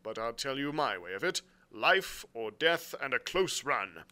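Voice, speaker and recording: A man's voice: mid-range voice, big pitch swings, unhurried, pushed and bright, pitched above the performer's own voice, exaggerated voice. A man voicing a stern, stubborn ship's captain in an adventure story, clipped and plain-spoken. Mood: blunt, disapproving.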